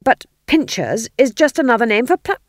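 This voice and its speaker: A woman reading aloud, voicing the anxious, cowed ghost of a male king who pleads loudly. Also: a little high, sing-song, moderate pace, dry recording, clean studio sound, voice pushed up. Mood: desperate. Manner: loud and pleading.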